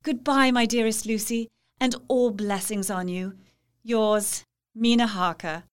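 A woman's voice: fairly high pitch, fairly even intonation, unhurried, clean tone, close to an everyday voice. A woman playing a devoted, cheerful young newlywed reading her affectionate, old-fashioned letter in an audio drama. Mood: fond, delighted.

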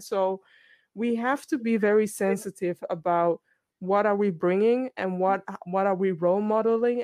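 A woman's voice: medium pitch, darkish tone, dry recording. A woman hosting a panel discussion, earnest and conversational, often restarting her sentences. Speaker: host.

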